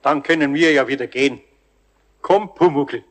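A man's voice: on the high side, normal speed, close to an everyday voice. An actor playing a kindly, patient older master craftsman with a Bavarian accent in a children's radio play. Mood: flustered.

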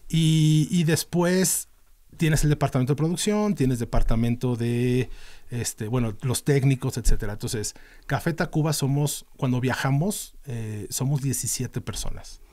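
Middle-aged mexican guy, regular pitch, rambling explainer w lots of fillers, studio podcast mic. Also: a little slow, a little mellow. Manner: explains at length with many fillers and restarts. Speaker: band manager, podcast guest. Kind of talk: casual interview talk. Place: small dim podcast studio. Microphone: studio mic on a boom arm.

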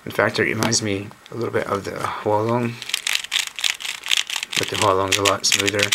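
A hoarse man, recovering from a lost voice, chatting casually and thinking aloud as he hosts a home video. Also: lowish voice, moderate pace. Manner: relaxed, thinking aloud with mild uncertainty. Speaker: cube channel host. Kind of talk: casual unboxing commentary. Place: indoors at a wooden table. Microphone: camera mic on a tabletop shot of hands. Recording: home camera audio with steady noise.

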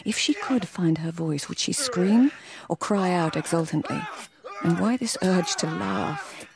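An actress playing a proud, independent court lady in a radio drama, cool, restrained and often hushed. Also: fairly low pitch, a little slow, gravelly. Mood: tense, inward. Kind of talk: radio drama dialogue and literary narration.

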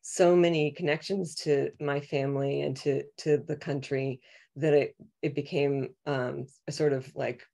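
A middle-aged woman poet talking reflectively and haltingly on a video-call panel, repeating words as she thinks. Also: a bass voice, a little mellow. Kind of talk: reflective, unscripted panel talk. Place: small home room on video call. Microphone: video call microphone.

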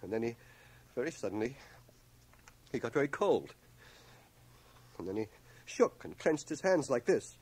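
A man's voice: mid-range voice, big pitch swings, moderate pace, pauses often, darkish tone, slightly rough. A man acting as an earnest, stubborn fellow from a small town, the lead and narrator of a radio drama taped on cassette. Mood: shaken. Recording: 1980 radio drama from home cassette transfer.

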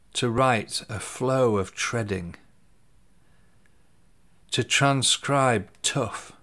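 A man reading his own poems in a measured, deliberate way, pausing between phrases. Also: lowish voice. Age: 50s. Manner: deliberate, pausing between short phrases, voice dropping at line ends. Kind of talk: slow, measured poetry reading. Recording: soft music underneath.

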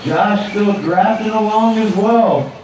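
A man's voice: high-pitched, takes it slowly, very echoey, far from the mic, noisy background. A man giving live play-by-play commentary at an outdoor dirt track. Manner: steady play-by-play calling. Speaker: race announcer.

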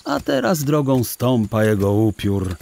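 Slow, expressive male storyteller. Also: mid-range voice, creaky, slight background noise. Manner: measured, literary storytelling. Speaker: narrator. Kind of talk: scripted storybook narration for children's audio drama.

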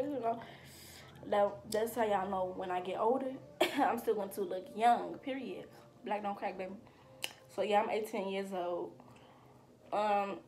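A woman's voice: mid-range voice, a little hiss or hum. An eighteen-year-old woman answering viewer questions in a casual, slangy chat, recorded on a webcam at home. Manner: chatty, slangy, animated with hand gestures. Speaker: vlogger. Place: small room at home, indoors.

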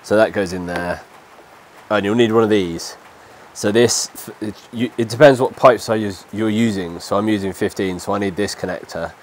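Man, thirties, bunged up with a cold, lowish voice, faint rain behind. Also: moderate pace. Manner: relaxed, explains step by step while working. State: stuffy nose from a cold. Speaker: DIY vlogger. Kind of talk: casual how-to walkthrough. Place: outdoors under a shelter in the rain. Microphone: lapel mic. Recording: light rain noise behind.

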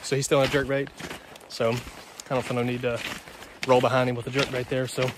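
Young male outdoor vlogger, average pitch, laid-back slangy chat, noticeable water noise on the recording. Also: level tone, a little fast. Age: young adult. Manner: informal, slangy bro talk. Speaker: fishing vlogger. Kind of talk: casual vlog chat to camera. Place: outdoors beside a creek.

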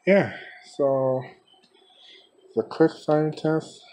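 Guy showing off his collection on a homemade video, slow choppy talking, bit of noise behind him. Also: on the high side, dark tone, poor audio. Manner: halting, fragmented phrasing. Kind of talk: unscripted product showcase vlog. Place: small room by a window. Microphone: handheld camera, speaker off screen. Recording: background noise, homemade handheld camera audio.

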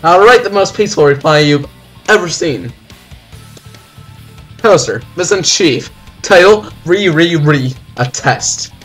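A man's voice: fairly high pitch, animated, unhurried, long pauses, fairly bright tone, soft background music. A man hosting a let's-play, reading visual novel text aloud with exaggerated, dramatic delivery.

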